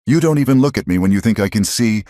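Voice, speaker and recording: A man's voice: medium pitch, normal speed, creaky, husky, no echo, studio quality, pitched above the performer's own voice. A man voicing a huge, lonely and fiercely strong young woman with a raw, pleading tone. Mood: hurt. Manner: raw, pleading then shouting.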